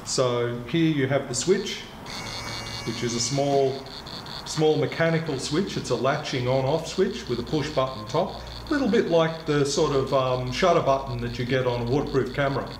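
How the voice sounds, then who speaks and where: A man in his sixties giving a measured, methodical product demonstration as a kayak retailer, speaking in an indoor shop. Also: medium pitch. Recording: echoey room with clear background noise.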